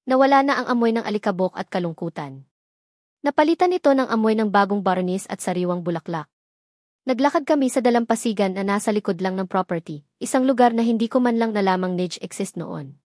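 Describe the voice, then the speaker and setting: A woman's voice: medium pitch, a little mellow, no room sound, studio quality. A woman reading a scripted first-person romance story as narrator, in a dramatic storytelling manner.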